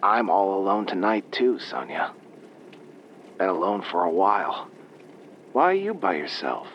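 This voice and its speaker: A man's voice: deep voice, very animated, unhurried, heavily acted. An actor playing a lonely, lovestruck male prison inmate calling a radio show, bashful and stammering over a phone line. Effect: phone caller filter. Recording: dull phone line with noise.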